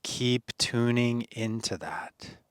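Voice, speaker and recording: A man leading a guided meditation in a soothing, earnest manner, phrasing deliberately with pauses and gentle encouragement. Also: medium pitch, fairly even intonation, clean tone, dry recording, studio quality.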